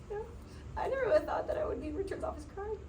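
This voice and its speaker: A woman's voice: extremely high pitch, lively intonation, fast, warm tone. Chatty young woman, distant noisy recording. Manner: chatty, fills with "like", laughs often. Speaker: sales team member sharing her story. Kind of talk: casual storytelling talk to a group. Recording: distant mic, noisy room.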